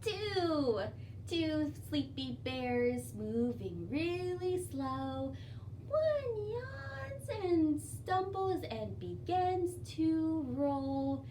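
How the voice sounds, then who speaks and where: A woman with a high voice reads and recites for young children in an expressive, sing-song storytime style. She takes her time over the words. The mic is a little distant, with faint noise behind her. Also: extremely slow. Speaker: children's librarian leading storytime. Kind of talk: children's storytime with songs, rhymes and read-aloud.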